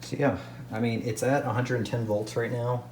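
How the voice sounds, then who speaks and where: A man's voice: mid-range voice, fairly even intonation, moderate pace, darkish tone, reverberant, a little hiss or hum. A man casually talking to himself while testing an antique radio, thinking aloud and easily amused.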